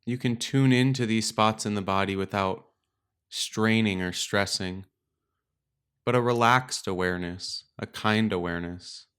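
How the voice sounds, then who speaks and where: A man leading a guided meditation as a teacher, speaking in a calm, gentle, unhurried way with pauses. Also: on the low side, clear, resonant tone. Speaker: meditation teacher and podcast host. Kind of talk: guided meditation, slow and instructional.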